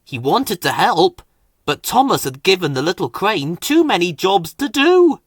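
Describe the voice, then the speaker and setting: A man reads a children's storybook aloud in a dry, close recording. He takes his time, and his pitch rises and falls very widely to dramatise the tale. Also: very animated, measured pace, husky, no echo. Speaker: story narrator. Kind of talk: expressive children's storybook reading.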